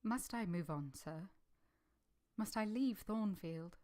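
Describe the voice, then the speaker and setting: Earnest young governess, played by an actress, plain-spoken and deferential with passion underneath. Period radio drama, formal diction, medium pitch. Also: female voice, expressive, slow, darkish tone. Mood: subdued, deferential. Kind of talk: vintage radio drama acting with narration.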